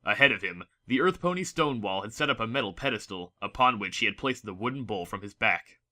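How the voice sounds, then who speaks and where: A man's voice: on the low side, lively intonation, quick pace, pushed and bright. A man reading scripted story narration as the narrator of a dramatic audiobook.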